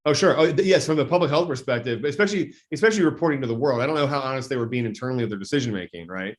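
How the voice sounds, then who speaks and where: Male legislator guest, mid-pitched, deliberate, self-correcting answers. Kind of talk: interview answers.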